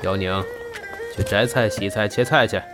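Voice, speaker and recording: A man's voice: lowish voice, steady tone, normal speed, music in the background, natural voice. Curt, bossy troupe senior played by a man. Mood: commanding. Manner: curt, commanding. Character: bossy, blunt male senior of a comedy troupe. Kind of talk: audio drama acting.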